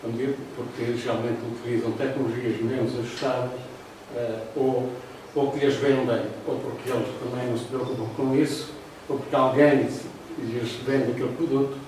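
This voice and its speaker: An older man with a European Portuguese accent giving a formal lecture over slides, pausing often with fillers. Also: mid-range voice, noisy background. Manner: talks through slides, hesitant fillers. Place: classroom with projector. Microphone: far room camera. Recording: roomy echo from far off.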